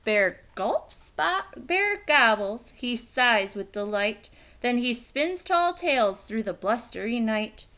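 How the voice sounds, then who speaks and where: A woman reading a children's picture book aloud in an expressive, theatrical, emphatic storytelling style. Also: fairly high pitch, takes it slowly, hardly any pauses.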